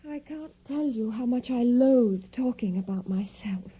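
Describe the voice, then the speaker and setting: A frail young gentlewoman speaks slowly in a high, breathy voice. She is anxious and languid, in audio drama style, on a slightly muffled recording. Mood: uneasy. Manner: hesitant, languid.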